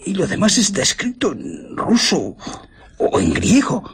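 Un actor interpretando a un boticario de pueblo curioso y quisquilloso, algo excitable, en un radioteatro. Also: male voice, sits high, a little slow, creaky, husky, heavily acted. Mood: curious, puzzled. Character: fussy, inquisitive male village apothecary. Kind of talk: radio drama acting.

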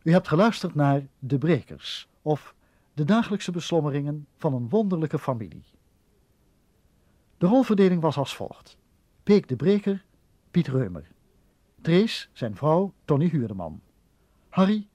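A man's voice: fairly high pitch, big pitch swings, a little slow, long pauses, mellow, dry recording. A man reading scripted closing credits as a radio announcer in a formal style.

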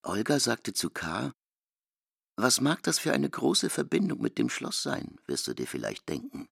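Narrator: a deep-voiced man with a gravelly, expressive, dry read. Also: a little slow, dry recording. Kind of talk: radio play narration.

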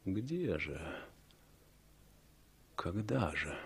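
A man's voice: sits low, very animated, vocal fry, slightly rough, heavily acted. An actor in a 1960s Soviet radio play as a gloomy young man, reflective, in an inner monologue. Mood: brooding. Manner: reflective, deliberate.

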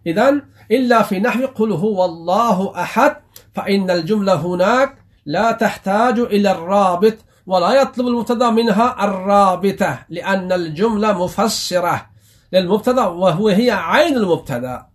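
A man from Afghanistan teaching grammar, who explains slowly and repeats phrases while asking himself rhetorical questions. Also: high voice, lively intonation. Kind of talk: grammar lesson.

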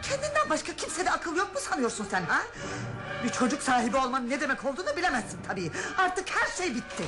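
Nervy wealthy woman, melodramatic radio play, high pitch, very animated, clear background music. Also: fast talker. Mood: angry, threatening. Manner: emphatic, dramatic. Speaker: radio drama actress. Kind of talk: melodramatic radio theater acting. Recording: prominent music behind the voice.

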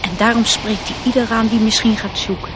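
An actress playing a wise, protective elf, earnest and gently admonishing, in a radio play. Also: female voice, fairly high pitch, level tone, fairly quick, close to an everyday voice. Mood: sad, reproachful. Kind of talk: radio drama acting. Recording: noisy radio drama recording.

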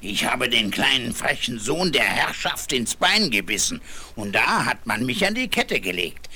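An actor playing a grumbling, wistful old chained dog with a husky voice in a children's fairy-tale audio drama. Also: medium pitch, sing-song, unhurried, bright, forceful tone, heavily acted. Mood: bitter, grumbling. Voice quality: husky, lost his fine voice.

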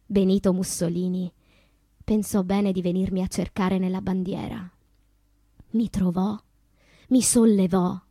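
Radio play: wounded, wistful woman poet, husky and breathy, dramatic deliberate delivery, very dry close sound. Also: mid-range voice, darkish tone, dry recording. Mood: proud, dramatic. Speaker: actress. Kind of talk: theatrical radio monologue of poetic memoir.

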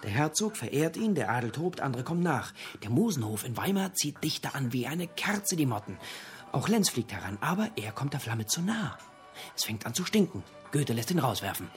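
An actor playing a talkative literature buff in a radio drama, lecturing theatrically in pithy, punchy fragments. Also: male voice, medium pitch, very animated, fairly quick, faint music behind, lightly acted. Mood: relishing, theatrical. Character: talkative literature buff holding forth in a pub.

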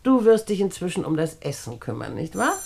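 Bossy older aunt, lowish voice, very lively intonation, fussy, condescending, radio drama. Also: female voice, a little fast, creaky, husky, full character voice. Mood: gracious, eager. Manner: bossy, fussing, sweetly patronising. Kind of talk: German radio play acting.

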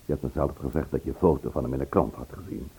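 A man's voice: a bass voice, fairly even intonation, rapid-fire, gravelly, mellow, slightly rough, exaggerated voice. An actor playing a worried, insistent man pressing for the truth in a radio play. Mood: insistent, reproachful. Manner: probing, insistent.

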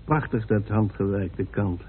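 An actor playing a courteous, formal and wordy colonel in a radio drama. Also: male voice, fairly low pitch, unhurried, a little hiss or hum, close to an everyday voice. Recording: tinny old radio recording.

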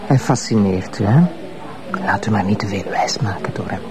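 An actress playing the tipsy, teasing and confiding wife of a police commissioner, with a Flemish accent, in a television crime drama. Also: female voice, rumbling low, moderate pace, music in the background. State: slightly tipsy.

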